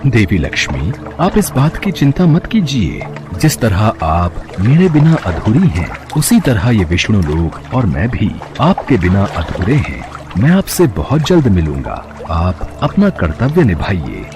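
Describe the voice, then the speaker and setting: A man voicing a gentle, reassuring god. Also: on the low side, huge pitch swings, a little slow, full character voice. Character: calm, reassuring preserver god, male. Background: music.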